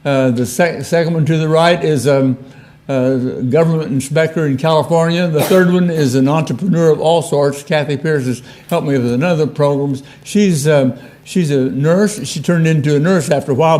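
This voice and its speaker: Retired prof guy, older, kinda high voice, lots of uh and restarts. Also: normal speed, darkish tone. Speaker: professor emeritus. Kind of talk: seminar talk.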